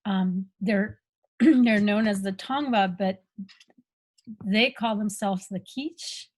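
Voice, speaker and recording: A woman sharing on a video call in an unhurried, thoughtful way with pauses. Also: medium pitch, a little mellow. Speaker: online summit participant. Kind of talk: reflective sharing in a group call.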